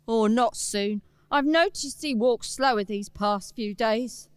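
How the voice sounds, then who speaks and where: A woman playing a gentle, sad child of a poor family in a radio play, soft and earnest. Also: a little high, slow, clean tone, dry recording. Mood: sad, quiet. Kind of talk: theatrical radio play acting.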